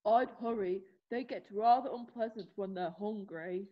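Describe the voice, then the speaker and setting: A woman's voice: medium pitch, moderate pace, lo-fi sound, close to an everyday voice. A woman playing a suave, sly, witty male fox with an ironic way of talking in an amateur readthrough. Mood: suave, urgent.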